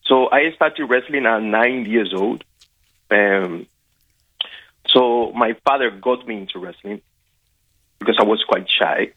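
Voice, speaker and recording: A man's voice: mid-range voice, slow, pauses often. A man from Cuba with a Cuban Spanish accent, a Spanish speaker, talking as an interview guest, hesitant with many fillers and restarts. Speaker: guest, wrestling coach. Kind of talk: casual interview over a call. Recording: tinny phone-like line.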